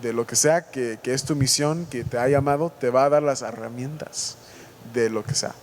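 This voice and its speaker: A man from the United States with an American English accent, a native English speaker, hosting a casual church podcast and talking in a halting, self-correcting way. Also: medium pitch, a little hiss or hum. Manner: halting, self-correcting, joking about his Spanish. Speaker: podcast host.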